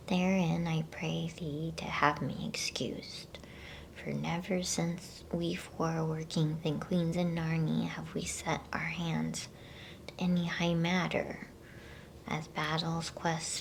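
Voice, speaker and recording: Female ASMR storybook reader: hushed breathy whisper, very slow delivery, crackly fry, intimate close mic. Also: low-pitched. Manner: hushed, unhurried, with playful asides. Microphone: close microphone.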